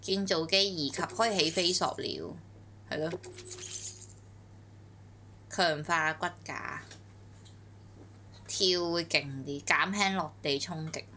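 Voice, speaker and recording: A woman's voice: fairly low pitch, takes it slowly, pauses often, a little hiss or hum. A woman with a Hong Kong Cantonese accent giving playful, blunt, slangy live commentary as a game streamer, with casual exclamations. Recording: loud game music behind. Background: loud video game music.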